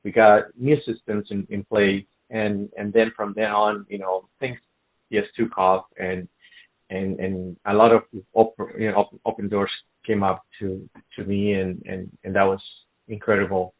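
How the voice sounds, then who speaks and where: A middle-aged man from Colombia, a business guest in a casual interview, speaking haltingly with many fillers and restarts. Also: on the low side, flat delivery. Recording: phone-like narrow sound.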